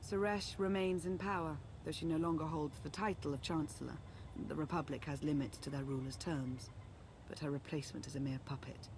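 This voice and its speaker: A female Sith strategist from a sci-fi video game. Her voice sits low for a woman, and she speaks formally and with measured care, earnest and composed. A faint ambient noise runs behind her. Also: fairly even intonation. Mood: grave, composed. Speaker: advisor and ally. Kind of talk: scripted game dialogue, briefing.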